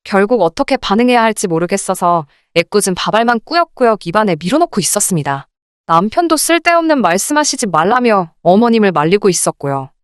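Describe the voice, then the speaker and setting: A woman's voice: mid-range voice, lively intonation, quick pace, clean tone, no room sound, studio quality. A woman reading a scripted first-person story as a radio drama narrator.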